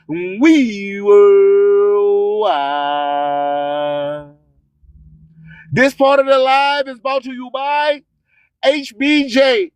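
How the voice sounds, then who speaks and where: A man's voice: very high voice, clean tone, no room sound. A man hosting an online radio show, delivering hype announcements, slogans and sponsor reads emphatically, repeating phrases for effect.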